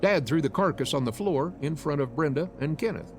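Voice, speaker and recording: A man streaming a visual novel, narrating it aloud in an expressive storytelling style. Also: on the high side, fairly quick, soft background music.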